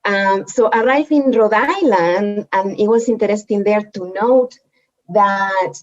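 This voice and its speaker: A woman from Spain, a native Spanish speaker with a Spanish accent, telling her personal story in a reflective, halting way. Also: medium pitch. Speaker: guest speaker, medical anthropologist. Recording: dull, lo-fi audio.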